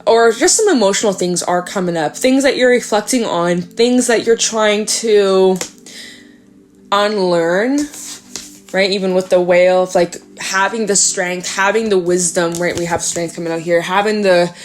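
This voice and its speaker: A young woman doing a relaxed tarot reading, talking in a loose, rambling way with plenty of "um" and "like." Her nose sounds stuffed up, and her voice sits at an ordinary middle pitch. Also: moderate pace. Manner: chatty, rambling, many fillers like "um" and "like". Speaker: tarot reader. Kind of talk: casual tarot reading to camera.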